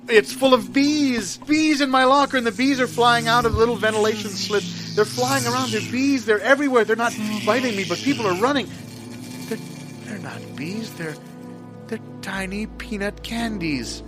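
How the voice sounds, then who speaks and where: An actor playing an anxious man recounting a surreal dream in a dramatic, halting, repetitive way, in improvised audio theatre. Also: very high-pitched, music in the background. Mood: panicked. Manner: dramatic, halting, repeats himself.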